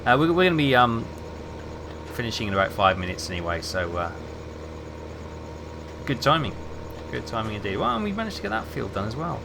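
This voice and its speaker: Male streamer, relaxed and chatty, mid-range voice, steady hum behind. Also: mid-range voice, fairly quick, vocal fry, pauses often. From England. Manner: relaxed, chatty, answers chat while playing. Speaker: game streamer. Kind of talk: casual gameplay commentary, chatting with viewers.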